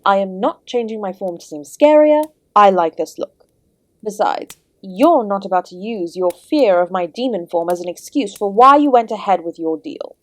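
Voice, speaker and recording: A woman's voice: mid-range voice, animated, moderate pace, no room sound, close to an everyday voice. A woman playing the Devil in an audio roleplay as a charming, sardonic, ancient hostess who speaks to the listener with genteel, theatrical courtesy. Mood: amused, proud. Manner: genteel, teasing, theatrical, calling the listener "my dear". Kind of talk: scripted audio roleplay, addressing the listener directly.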